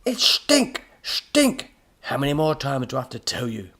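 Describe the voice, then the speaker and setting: A man voicing an irascible mad professor in a children's story, exasperated, melodramatic and given to shouting. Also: mid-range voice, sing-song, moderate pace, gravelly, slightly rough, pitched above the performer's own voice, exaggerated voice. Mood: enraged screaming. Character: irascible mad professor, shrieking and barking, easily enraged.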